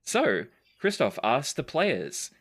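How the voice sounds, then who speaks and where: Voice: mid-range voice, sing-song, slow pace. A podcast host and game master with a playful, wry manner, chatting casually and reading out listener questions. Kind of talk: casual Q&A chat.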